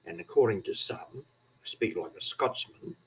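A man's voice: fairly low pitch, animated, normal speed, husky, rough recording. A man in his seventies from New Zealand with a Kiwi accent, rambling through a wry, self-mocking monologue. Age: 70s. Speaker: vlogger. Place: aged care facility.

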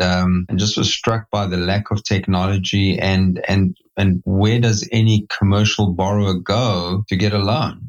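A middle-aged South African man speaks in a low, level voice, circling back over his words with fillers and restarts as he reflects on his career. The recording sounds muffled and lo-fi. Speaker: guest, company CEO. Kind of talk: podcast interview.

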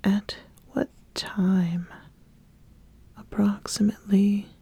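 A woman playing an ambitious, appraising witch in a soft, breathy, deliberate ASMR roleplay whisper. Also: mid-range voice, flat delivery, heavily acted. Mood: probing, reserved. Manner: slow, deliberate, measured, with long pauses. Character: ambitious, appraising witch interviewing a would-be apprentice.

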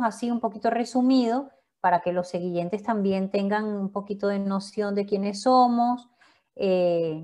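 A woman's voice: mid-range voice, a little mellow. A woman co-hosting a podcast who talks in a casual, reflective way and stops to explain slang. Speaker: podcast co-host.

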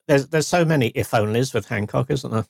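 A man's voice: mid-range voice, brisk, creaky, darkish tone, husky, no room sound, studio quality. A man co-hosting a podcast, reflective and agreeable, humming along in casual chat. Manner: reflective, agreeable, frequent hums. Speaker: podcast co-host.

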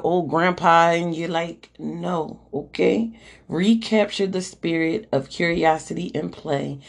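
Woman, hoarse, gravelly, slow-paced, casual podcast host, oracle card reading, slangy. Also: lowish voice. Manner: chatty and slangy, swears, keeps asking "okay?" while reading card texts aloud. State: losing her voice, hoarse.

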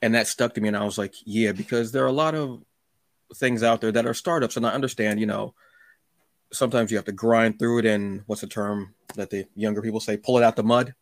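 A man hosting a podcast interview, chatting informally in halting phrases with repeats. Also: lowish voice, steady tone, dry recording, studio quality. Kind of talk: casual chat.

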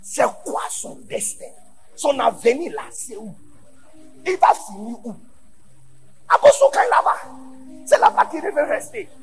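A man from Ghana with English as his first language, preaching a sermon in French to a large conference, going from quiet asides to shouted emphasis. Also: extremely high pitch, low-quality recording. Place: large church conference hall.

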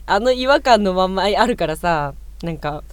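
A woman's voice: medium pitch, lively intonation, moderate pace, smooth, no room sound. A woman co-hosting a podcast, gushing in casual fan chat and slipping into little acted-out quotes. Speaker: podcast co-host.

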